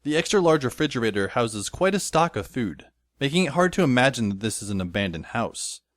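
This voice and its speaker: A man's voice: medium pitch, very animated, brisk, dry recording, close to an everyday voice. A man playing a wry, weary teenage schoolboy who narrates an audio drama in a dry, sardonic way. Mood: wry narration. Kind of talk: first-person scripted audio drama narration. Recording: music under the voice.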